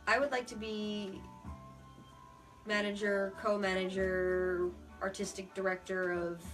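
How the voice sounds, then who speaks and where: A woman's voice: mid-range voice, little pitch movement, unhurried, fairly bright tone. An American woman talking casually as an interview guest, earnest and a little rambling and self-effacing. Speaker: interview guest, puppeteer and theater artist. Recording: distant mic with music behind.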